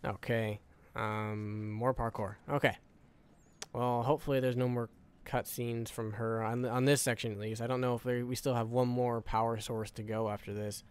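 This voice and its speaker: A man's voice: mid-range voice, little pitch movement, moderate pace, slight background noise. Tired man muttering game commentary. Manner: rambling, muttering to himself, repeats phrases. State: tired, voice wearing out. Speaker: gaming video creator. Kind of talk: casual let's-play commentary.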